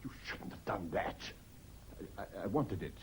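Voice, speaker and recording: An actor playing an anxious, guilt-ridden husband in a radio drama, hesitant, stammering and earnest. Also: male voice, sits low, expressive, moderate pace, darkish tone, a little hiss or hum. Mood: guarded, nervous. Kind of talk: British radio drama acting.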